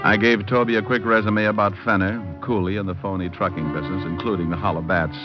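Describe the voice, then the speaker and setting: An actor playing a cocky, wisecracking hardboiled private detective who narrates with deadpan humor in an old-time radio drama. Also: male voice, low-pitched, fast talker, natural voice. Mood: wry narration. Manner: deadpan, flippant wordplay in narration and dialogue. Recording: muffled old radio recording with music.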